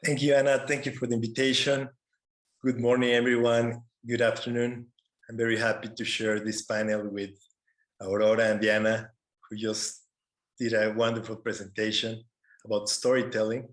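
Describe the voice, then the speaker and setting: A man from Mexico with a Mexican Spanish accent giving an informal talk as a panelist, halting as he searches for words. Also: mid-range voice, level tone. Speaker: panelist, conservation photographer. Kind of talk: informal presentation to an online audience.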